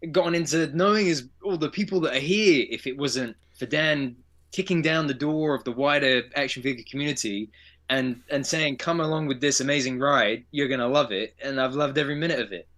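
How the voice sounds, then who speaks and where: A man co-hosting a live stream speaks earnestly, his thoughts running on in long heartfelt sentences. His voice sits at an everyday middle pitch for a man, with nothing unusual in its tone. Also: fairly bright tone. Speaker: co-host. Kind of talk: casual live stream chat.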